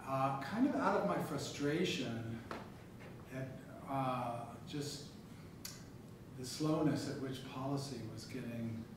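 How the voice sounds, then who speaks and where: A middle-aged man talks easily to a small workshop group, relaxed and chatty, tossing in offhand asides. He sounds distant from the mic, with heavy room echo and a noisy background hiss. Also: mid-range voice, takes it slowly. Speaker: economics professor leading a workshop. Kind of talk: casual talk to a small group. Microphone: recorder far from the speaker. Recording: echoey room recorded from afar, noisy.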